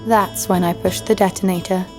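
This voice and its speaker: A woman's voice: on the low side, steady tone, normal speed, close to an everyday voice. An actress playing a tough, wry female adventurer who narrates her own story in a scripted audio drama. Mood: wry storytelling. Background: music.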